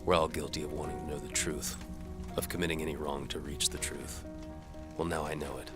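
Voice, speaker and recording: An extremely deep-voiced, world-weary mercenary swordsman, played by a male actor. He narrates a medieval audio drama in deadpan, wry hardboiled fashion. Loud music runs underneath. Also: brisk.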